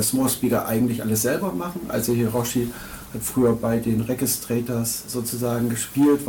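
A man's voice: medium pitch, mellow, a little hiss or hum. A man from Hamburg answering interview questions as a tour manager and label owner, hesitant, with many fillers and repeated words. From Hamburg, Germany. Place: record shop studio. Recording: echoey room.

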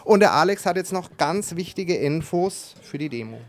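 Guy co-host doing relaxed live announcements, slightly high voice. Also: moderate pace. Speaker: co-host announcing a parade draw.

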